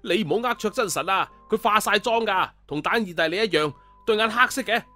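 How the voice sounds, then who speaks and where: A pushy, childish would-be deity from an audio story, put on by a male narrator. He pitches his voice high and loud, insisting on his way and repeating his demands, with music underneath. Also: brisk. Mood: indignant refusal. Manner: loud, insistent, repeats himself, speaks of himself in third person. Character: childish, stubborn, boastful self-styled god, male.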